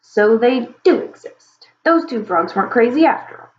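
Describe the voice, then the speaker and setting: A woman voicing an old, brusque dragon king and grandfather, speaking low and offhand. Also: lively intonation, normal speed, soft background music, lightly acted. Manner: gruff, offhand. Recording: roomy, slightly dull recording.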